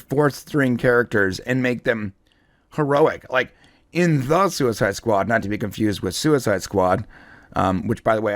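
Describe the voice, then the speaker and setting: Male host, mid-pitched, conversational and enthusiastic explainer for casual pop culture commentary, dry recording. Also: a bit bright, no echo. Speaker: show host and comic book fan commentator.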